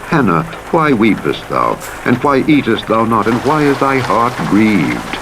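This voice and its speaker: A deep-voiced man reads scripture with solemn, measured storytelling delivery. His intonation rises and falls widely, giving the text drama. A noisy rumble of vehicle and rain-like game sound runs behind him. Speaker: audiobook narrator. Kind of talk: scripted dramatic Bible reading. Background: truck simulator game driving noise.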